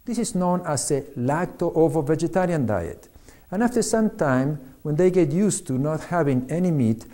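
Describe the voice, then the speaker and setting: A man from Argentina, reading a prepared diet talk in a measured, instructive way. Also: fairly high pitch, mellow. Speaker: doctor giving diet advice.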